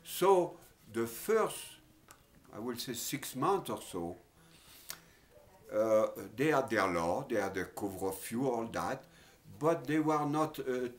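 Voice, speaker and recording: An older man with a French accent, recalling his past in an interview, speaking haltingly with many pauses and fillers. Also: mid-range voice, expressive. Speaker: oral history interviewee. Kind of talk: reminiscing in an interview.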